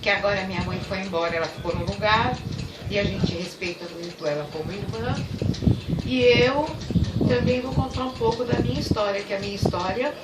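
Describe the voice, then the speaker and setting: A woman's voice: medium pitch, a little fast. An older woman telling stories about her childhood in a fond, storytelling manner. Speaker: interviewee. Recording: echoey room, distant mic, loud noise.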